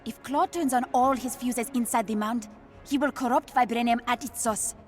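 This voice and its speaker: Headstrong princess scientist , female actor: African accent, raised pitch, earnest and passionate, video game drama. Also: normal speed, a little mellow, natural voice. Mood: urgent. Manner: earnest, urgent. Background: faint game music.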